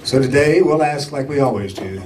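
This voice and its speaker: A man's voice: medium pitch, animated, fairly quick, a little mellow, echoey room, recorded from a distance. A man hosting a radio show live on stage, chatting casually with his co-host and the audience.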